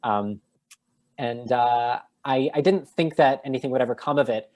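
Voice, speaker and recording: A man answering an interview question over a video call, thoughtful, with many pauses and restarts. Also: mid-range voice, darkish tone. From United States. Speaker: guest translator.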